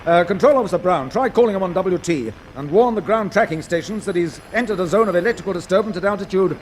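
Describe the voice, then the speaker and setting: Guy playing brisk colonel at mission control, high voice, clipped orders, noisy old radio serial. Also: lightly acted. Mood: concerned. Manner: clipped, authoritative. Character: brisk, commanding colonel running space launch control. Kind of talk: 1950s radio acting.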